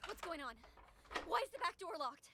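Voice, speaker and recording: A woman's voice: very high-pitched, big pitch swings, moderate pace, background noise, full character voice. A woman playing an anxious, insistent young stage actress in an audio drama. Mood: distressed. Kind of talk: interactive radio drama acting.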